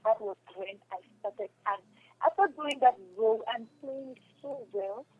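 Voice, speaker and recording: A woman's voice: on the high side, normal speed, husky, no echo. A young woman with a Cameroonian accent giving a casual interview as a guest, speaking emphatically and repeating her phrases. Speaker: interview guest, stage and radio drama actress. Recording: dull lo-fi telephone-like radio sound.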